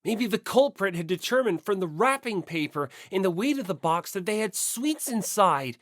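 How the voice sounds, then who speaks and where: A woman's voice: mid-range voice, lively intonation, normal speed, no echo, clean studio sound. A woman reading first-person scripted story narration as an audiobook narrator.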